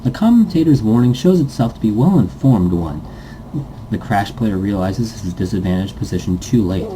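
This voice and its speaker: A man's voice: fairly low pitch, animated, moderate pace, dark tone, a little hiss or hum, poor audio. A man reading a story aloud as a let's player, stumbling over words now and then and breaking into goofy asides. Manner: stumbles over text and self-corrects, goofy. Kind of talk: story narration read aloud with playful asides.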